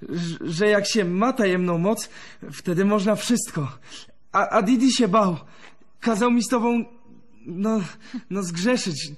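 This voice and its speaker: An actor playing a naive, devoted young musician in a radio drama, earnest and halting with a slight stammer. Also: male voice, high voice. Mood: tender, earnest.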